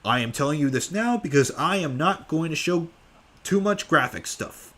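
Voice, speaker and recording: A man's voice: fairly high pitch, lively intonation, normal speed, a bit bright. A young man casually answering fan questions at home, rambling with lots of fillers. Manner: rambling with many fillers, offhand. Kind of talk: casual live Q&A.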